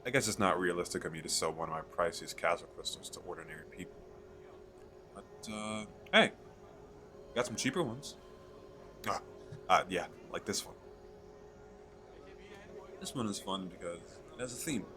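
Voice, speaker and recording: A man playing an eager, chatty fantasy merchant in an audio roleplay, talking the listener through a sales pitch in a hesitant, rambling, persuasive way. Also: moderate pace, long pauses, bright, forceful tone, faint music behind. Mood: conciliatory, upbeat. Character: eager, chatty male fantasy merchant selling magical crystal castles.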